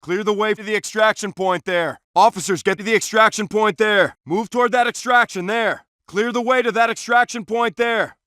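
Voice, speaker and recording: Commanding SWAT officer, video game callouts, very high male pitch, clipped authoritative delivery, close dry sound. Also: fairly even intonation, moderate pace, hardly any pauses, bright, forceful tone, no room sound. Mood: firm, directing. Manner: clipped, urgent, authoritative barks. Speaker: police officer player character.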